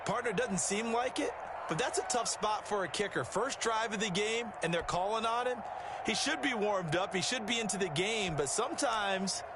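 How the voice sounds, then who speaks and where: A man's voice: high-pitched, moderate pace, crowd noise, noisy background, lightly acted. An American man playing a folksy football color commentator, explaining the game in a conversational way. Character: male TV football color analyst, chatty, explanatory. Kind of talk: conversational sports analysis.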